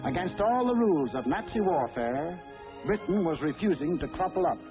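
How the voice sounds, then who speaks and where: A man's voice: high-pitched, lively intonation, normal speed, soft background music. A man delivering dramatic, emphatic narration for a 1940s wartime documentary on an old film soundtrack. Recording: dull, band-limited 1940s film soundtrack. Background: loud orchestral score.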